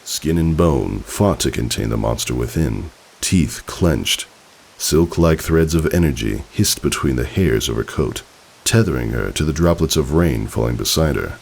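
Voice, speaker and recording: A man reading a story aloud as narrator, in an expressive, theatrical, dramatic style. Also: very deep voice, moderate pace, creaky, husky, poor audio. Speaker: fan fiction narrator.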